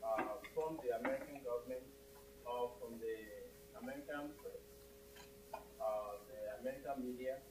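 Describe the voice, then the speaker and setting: A man with a Nigerian accent asks a long, halting question from the audience, full of uh's. He sits well away from the microphone, so his voice sounds thin and roomy. A clear hum runs underneath. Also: medium pitch. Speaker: audience member asking a question. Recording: distant, echoey hall with hum.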